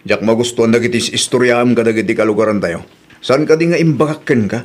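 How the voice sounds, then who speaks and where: An actor plays a widowed father in an Ilocano radio-style drama. His mid-pitched voice is blunt and curt, with the scolding tone of a man out of patience. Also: quick pace, lightly acted. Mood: firm, reproachful. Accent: Filipino, Ilocano. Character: stern, exasperated widowed father, protective and blunt.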